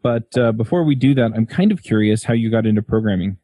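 A man's voice: lowish voice, animated, fast talker, dark tone, dry recording, studio quality. Male podcast host with a colloquial manner. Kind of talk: casual podcast interviewing and scripted sponsor read.

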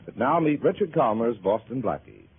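A man's voice: mid-range voice, very animated, moderate pace. Dramatic old-time male radio announcer. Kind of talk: 1940s radio announcing, dramatic scripted introduction. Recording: tinny, hissy old radio recording.

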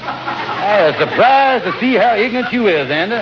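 High-pitched, blustering radio schemer. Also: male voice, lively intonation, normal speed. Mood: smooth-talking persuasion. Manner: blustering, folksy ungrammatical speech. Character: scheming, glib husband, fast-talking buddy of dubious ideas. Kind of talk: 1940s-50s radio comedy acting. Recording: noisy, muffled old radio broadcast.

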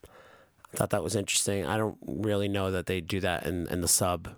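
A man's voice: deep voice, steady tone, moderate pace, no echo. A man talking casually on a podcast, rambling and cursing, with many fillers and restarts. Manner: rambling, profane, frequent fillers. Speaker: podcast co-host.

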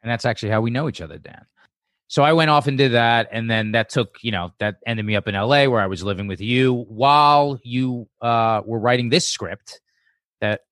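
A man's voice: medium pitch, moderate pace, fairly bright tone, dry recording, studio quality. A man, a comedian guesting on a podcast, telling stories in a rambling, informal way. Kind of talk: casual chat and storytelling.

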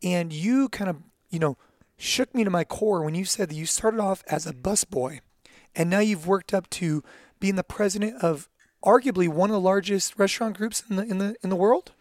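A man hosting an interview aimed at gym owners, asking questions in a quick, eager way. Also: sits high, no room sound, studio quality. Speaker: podcast host for gym owners. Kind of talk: interview host.

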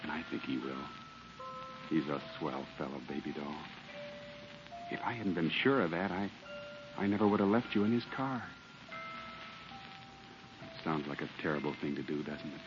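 A man's voice: rumbling low, lively intonation, moderate pace, long pauses. An actor playing a polite, earnest young father in a 1940s radio drama, speaking a little hesitantly. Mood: tender, confessional. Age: young adult. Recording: muffled vintage radio recording with music behind.

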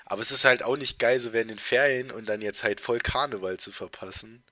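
A man's voice: medium pitch, brisk, sharp tone, no room sound. A teenage boy co-hosting a casual podcast chat, congested with a heavy cold, rambling with lots of fillers and restarts. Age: teens. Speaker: podcast co-host.

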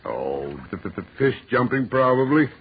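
A man acting a genteel, hesitant English gentleman in a 1940s radio horror play. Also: medium pitch, big pitch swings, normal speed. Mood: uneasy. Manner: polite, hesitant, stammering. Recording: tinny, noisy 1940s radio recording.

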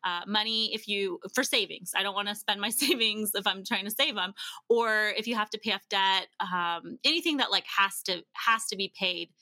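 A woman guest on a podcast, telling stories in a casual, animated way and acting out bits of dialogue. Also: mid-range voice, normal speed, dry recording. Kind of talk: casual conversational storytelling.

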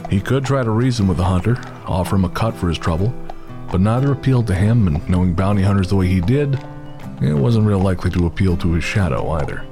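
A man narrating a western horror story in a measured, steady way. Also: deep voice, music in the background.

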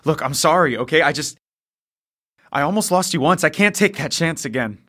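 A middle-aged man acting a gruff, protective, weary father in a post-apocalyptic audio drama. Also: a little high, animated, normal speed, bright, forceful tone. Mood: weary, frustrated.

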